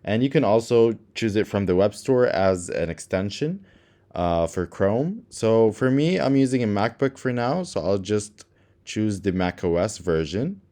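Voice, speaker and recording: A man's voice: on the low side, very animated, measured pace, warm tone. A man hosting a how-to tutorial, narrating the steps in a casual, instructional style. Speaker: tutorial host.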